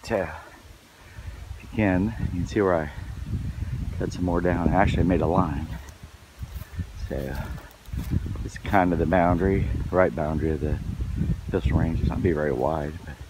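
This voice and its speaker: A hoarse man rambling casually about his work on his wooded property, with lots of fillers. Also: deep voice, noisy background. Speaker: vlogger. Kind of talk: casual outdoor vlog narration.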